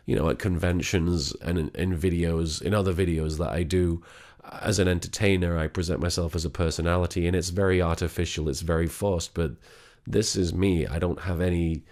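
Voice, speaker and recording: A man talking quietly and haltingly in a candid vlog, sick, with a gravelly voice and many restarts. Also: low voice, steady tone. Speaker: vlogger.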